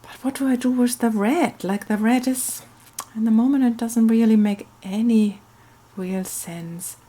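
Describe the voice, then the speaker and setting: A woman hosting a craft video, talking in a musing, unhurried storytelling way. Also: fairly high pitch, dark tone. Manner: musing, hesitant, thinking aloud. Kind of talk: casual craft narration and slow storytelling.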